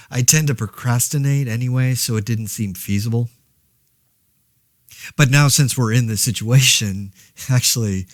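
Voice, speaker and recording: A man's voice: lowish voice, no room sound, clean studio sound, natural voice. A man playing a rambling, wry, laid-off New Yorker who hesitantly podcasts through a zombie outbreak in an audio drama. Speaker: podcast host. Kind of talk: casual podcast monologue in a fiction audio drama.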